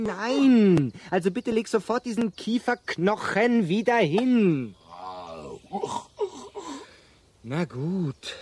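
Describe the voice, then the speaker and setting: An actor playing an earnest, fussy and stubbornly hopeful castaway in a radio play, talking like a patient schoolmaster. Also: male voice, high-pitched, a little hiss or hum. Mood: fussy, exasperated.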